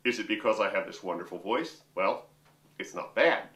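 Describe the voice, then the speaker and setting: A man's voice: on the low side, expressive, moderate pace, sharp tone. A middle-aged man, a vocal coach and opera singer, giving a chatty, joking lesson straight to his viewers from a room with a piano. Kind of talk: instructional talk to camera. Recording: roomy sound, mic at a distance.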